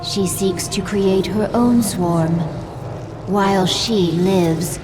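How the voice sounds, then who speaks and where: An actress playing an obedient, solemn alien servant in a sci-fi video game, speaking formally and with measured deference. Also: female voice, medium pitch, close to an everyday voice. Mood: reverent, grave. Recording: echoey, music behind.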